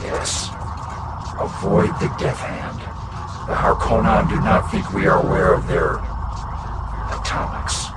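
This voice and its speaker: Deep, eerie, clipped merchant-house envoy. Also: male voice, steady tone. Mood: cold, detached. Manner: clipped, formal, detached, halting delivery. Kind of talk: scripted video game cutscene acting, mission briefing. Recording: distant, echoing voice under loud music and noise.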